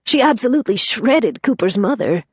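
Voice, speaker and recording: A wry, teasing young woman friend with a husky, lively voice, as a woman narrator puts her on. The sound is dry and close. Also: fairly high pitch, normal speed, dry recording, pitched above the performer's own voice. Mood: amused.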